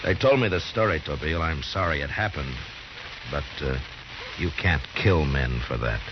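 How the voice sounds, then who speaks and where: Stern calm western lawman, deep voice, hissy old radio show. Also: dull audio. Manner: measured, firm. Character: calm, stern, authoritative frontier marshal. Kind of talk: 1950s radio western acting.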